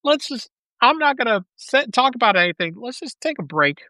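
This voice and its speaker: A woman's voice: fairly high pitch, sing-song, moderate pace, bright, forceful tone, no echo. A woman co-hosting a casual podcast chat, insistent and repeating herself with stumbling starts. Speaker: podcast co-host.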